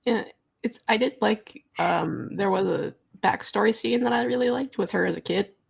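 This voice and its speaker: A woman's voice: mid-range voice, moderate pace, vocal fry, breathy edge. A woman chatting casually and frankly as a panelist on a book discussion livestream. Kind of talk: casual chat. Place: remote livestream call.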